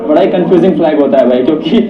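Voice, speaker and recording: A man's voice: a little high, rapid-fire, crowd noise. A man in his twenties with an Indian accent, chatting casually as a travel vlogger who walks outdoors, mixing in broken English and calling viewers brother. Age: 20s. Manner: chatty, says "bhai" often, switches between Hindi and broken English. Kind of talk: casual travel vlog narration. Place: outdoors in streets. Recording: echoey, distant, dull with faint noise.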